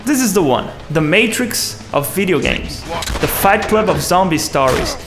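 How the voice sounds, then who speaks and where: High-pitched man narrating a video essay. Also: big pitch swings, normal speed. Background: music.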